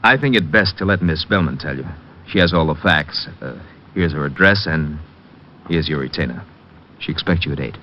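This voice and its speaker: Businesslike male lawyer, very low voice, discreet, muffled vintage radio sound. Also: expressive. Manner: measured, businesslike. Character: formal, discreet male attorney. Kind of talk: 1940s radio drama acting. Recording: muffled, noisy old radio recording.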